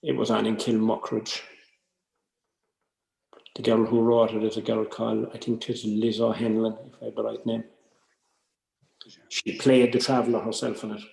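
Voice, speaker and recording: Irish male workshop speaker, lowish creaky voice, chatty and meandering, roomy echoey sound. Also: monotone, moderate pace, long pauses, darkish tone. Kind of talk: informal workshop talk.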